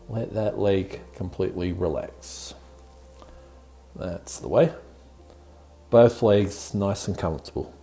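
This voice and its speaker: A man with a deep, mellow voice hosts a guided meditation. He gives soothing, gently encouraging instructions at a very unhurried speed. Music plays behind him. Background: background music.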